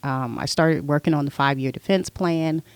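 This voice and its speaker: A middle-aged woman, a podcast guest, telling stories from her past in a relaxed, conversational way. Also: deep voice, normal speed, clear, resonant tone, dry recording. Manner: relaxed storyteller, recounting her past at length. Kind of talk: casual interview chat.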